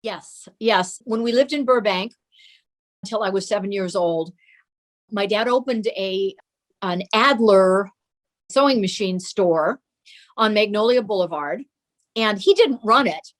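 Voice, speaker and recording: A woman's voice: mid-range voice, measured pace, long pauses. Woman guest reminiscing in an interview. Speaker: guest recalling her father.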